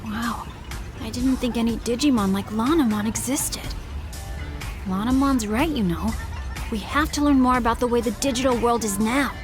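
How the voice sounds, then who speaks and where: A woman playing an earnest, excitable young companion in a fantasy video game, expressive and wide-eyed. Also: a little high, normal speed, over music, natural voice. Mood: amazed. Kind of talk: English video game voice acting.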